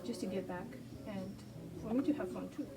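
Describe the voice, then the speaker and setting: A woman who speaks English as a second language, rambling hesitantly with many pauses as she sketches. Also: mid-range voice, heavy background noise. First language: not English. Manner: hesitant, many ums, self-deprecating. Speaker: artist sketching on camera. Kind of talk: casual rambling vlog while drawing. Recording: distant mic with background music.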